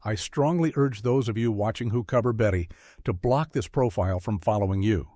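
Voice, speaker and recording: A man's voice: fairly low pitch, a little fast, dark tone, no echo. Sarcastic man, dry close recording. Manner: sarcastic, blunt, confrontational. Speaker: host, mock interviewer. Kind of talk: scripted satirical interview commentary.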